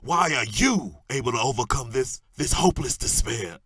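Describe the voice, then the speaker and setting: A man playing a desperate, unstable gunman in an audio drama, halting and brooding in his speech. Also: medium pitch, lively intonation, fairly bright tone, breathy edge.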